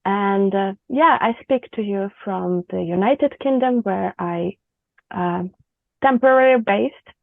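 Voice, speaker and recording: A woman's voice: medium pitch, measured pace, gravelly, no room sound. A Ukrainian-accented woman in her thirties talks through her work thoughtfully, stopping often to find the right words. Her voice comes over a narrow, phone-like remote call line. The sound is dry, with no room around it. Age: 30s. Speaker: guest, visual practitioner. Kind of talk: conversational interview.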